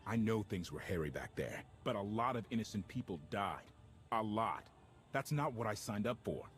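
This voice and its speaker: Casting: blunt, low-voiced ex-captain, plain and casual, soft game music under. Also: male voice, sing-song, moderate pace. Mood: serious. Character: blunt former warship captain, earnest man. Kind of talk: video game cutscene acting.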